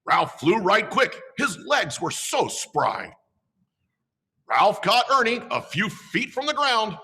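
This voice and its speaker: A man dressed as Santa, reading a children's story aloud slowly and expressively. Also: husky, long reverb tail. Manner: slow, highly expressive.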